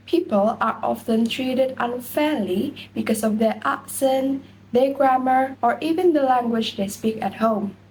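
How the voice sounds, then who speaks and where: Young woman, high-ish voice, reading a class talk, slight background hiss. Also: a little mellow, low-quality recording. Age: young adult. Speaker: student presenter.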